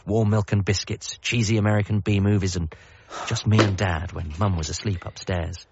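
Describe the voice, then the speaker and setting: An actor playing a wry, wistful British son, narrating a radio comedy drama in the first person with dramatic pauses. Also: male voice, on the low side, fairly even intonation, background noise, lightly acted. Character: bereaved ordinary son, wry and wistful storyteller. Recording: dull band-limited sound with music behind.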